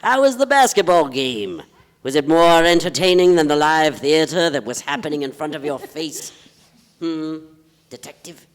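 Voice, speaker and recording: A man's voice: fairly high pitch, lively intonation, moderate pace, lightly acted. A man playing a melancholy, pompous poet and part-time detective in a staged play reading, with florid, declamatory delivery. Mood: bitter, sarcastic. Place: live on a theatre stage. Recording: reverberant theatre hall.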